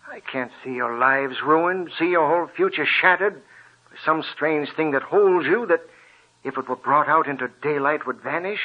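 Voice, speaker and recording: A man's voice: a little high, normal speed, slightly rough. A man playing an earnest, persuading doctor in a 1940s radio drama. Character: earnest male doctor, reasoning friend. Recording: dull old radio broadcast.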